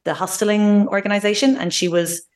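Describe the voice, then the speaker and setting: A woman's voice: medium pitch, fairly even intonation, brisk. A young Irish woman, a language teacher, talking passionately and fluently as a guest in a podcast interview. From Ireland. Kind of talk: conversational interview.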